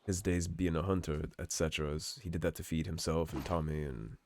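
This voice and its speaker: Man, slightly hoarse, very deep voice, relaxed let's play commentary, musing tone. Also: brisk, a little hiss or hum. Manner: relaxed, musing, reads in-game notes aloud. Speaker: game streamer.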